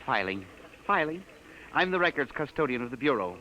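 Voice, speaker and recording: A man's voice: medium pitch, very animated, fairly quick, sharp tone. An actor playing a meek, fussy male records clerk who stammers timidly, in a 1950s radio drama. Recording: scratchy, hissy old radio recording.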